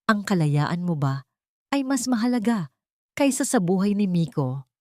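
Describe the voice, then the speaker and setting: A woman's voice: mid-range voice, very animated, a little slow, darkish tone, no echo, studio quality. A woman voicing a manipulative rich younger woman in a storybook drama, coaxing and theatrical with hidden cruelty. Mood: cold, menacing.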